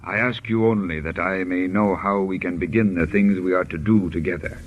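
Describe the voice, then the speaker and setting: A man acting a mysterious, controlling occultist in a vintage radio drama, speaking with formal, measured courtesy. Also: low-pitched, fairly even intonation, phone-quality sound, close to an everyday voice. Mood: suavely courteous. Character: mysterious male occultist, courteous, calmly controlling, secretive master. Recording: noisy old radio broadcast recording.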